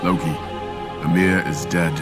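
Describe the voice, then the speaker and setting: An actor playing a bold, hot-headed young warrior god in a video game, declamatory and defiant. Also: male voice, sits low, level tone, a little slow. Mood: questioning. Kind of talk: heroic video game acting. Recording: loud music under the voice.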